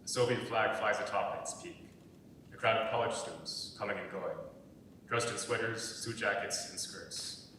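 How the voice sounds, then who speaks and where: Low-voiced male narrator, big echoey hall. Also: moderate pace, pushed and bright. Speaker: narrator reading stage directions. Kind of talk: scripted narration. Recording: echoey hall, distant mic, faint rumble.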